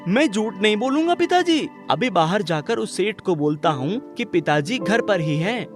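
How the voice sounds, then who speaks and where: A man's voice: high-pitched, sing-song, moderate pace, pitched above the performer's own voice. A man voicing an honest, well-mannered village boy in a children's story, speaking politely and earnestly. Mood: firm. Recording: music behind the voice.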